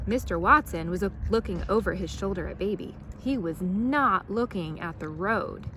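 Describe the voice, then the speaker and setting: A woman's voice: mid-range voice, normal speed, bright, forceful tone, a little hiss or hum. A woman reading a children's storybook aloud as a storytime reader.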